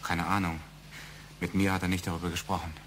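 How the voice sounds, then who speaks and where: A man's voice: low-pitched, level tone, fairly quick, fairly bright tone, slight background noise. An actor playing an aggrieved, defensive architect and family father in a German radio play, indignant and given to repeating himself. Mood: subdued.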